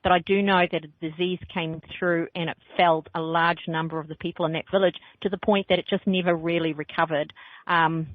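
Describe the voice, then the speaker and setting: A woman's voice: fairly low pitch, steady tone, brisk, dry recording. A woman hosting a call-in radio show, giving psychic readings in a playful, jokey way and laughing often. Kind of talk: casual call-in radio chat. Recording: phone-like lo-fi radio sound.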